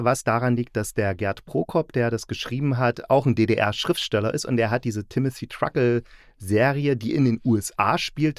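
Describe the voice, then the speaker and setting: A man's voice: mid-range voice, no room sound, studio quality. A man hosting a podcast, talking casually in a conversational, unscripted way. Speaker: podcast host reviewing radio plays.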